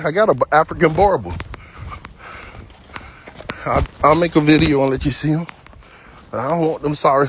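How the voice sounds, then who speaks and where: A man's voice: fairly high pitch, a bit bright, slight background noise. A man in his fifties, a dog trainer, talking casually and bluntly while walking his dog on an outdoor trail. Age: 50s. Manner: talkative, blunt, folksy storytelling.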